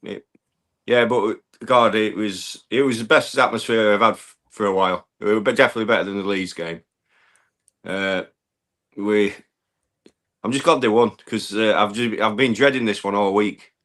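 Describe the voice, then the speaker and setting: A man with a hoarse voice, chatting casually as a fan calling in to a football show. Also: on the low side, a bit bright. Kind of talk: casual chat.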